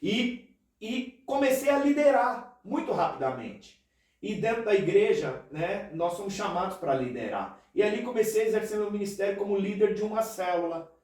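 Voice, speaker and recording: A man's voice: high voice, expressive, moderate pace, darkish tone, very echoey, recorded from a distance. A man from Paraná with a São Paulo city accent, a pastor telling his story in a casual podcast interview, earnest and chatty, tagging phrases with "né". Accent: São Paulo city Portuguese. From Brazil, Paraná state. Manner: earnest, chatty, frequent "né" tags.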